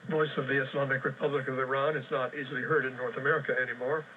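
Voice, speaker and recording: A man hosting a shortwave radio news show, reading listener reports and frequency lists in a brisk, matter-of-fact way with dry sarcasm. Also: mid-range voice, little pitch movement, phone-quality sound. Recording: distant, faintly noisy shortwave radio relay.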